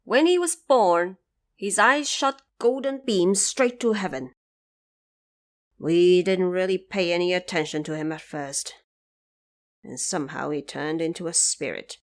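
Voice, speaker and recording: A woman voicing a solemn, worried male emperor of heaven in an audio drama, with slow, stately, formal speech. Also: on the low side, lightly acted.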